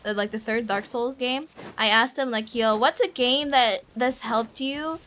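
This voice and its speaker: A woman with a fairly high voice chats on a game livestream. She rambles informally in slangy language, with faint noise and rumble behind her. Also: a little slow, fairly bright tone. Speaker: game streamer. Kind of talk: casual livestream chat and game commentary.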